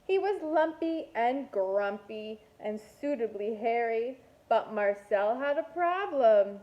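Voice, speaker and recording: A woman reading a picture book aloud to young children like a kindergarten teacher, expressive and deliberate, with playful asides. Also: fairly high pitch, dull audio.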